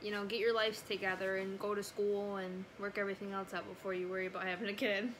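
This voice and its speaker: A woman's voice: medium pitch, level tone, quick pace, a bit bright, slight background noise, low-quality recording. A young woman telling a personal story casually to camera, with lots of "like" and "you know" in her talk. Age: young adult. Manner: conversational, frequent "like" and "you know". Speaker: family vlogger.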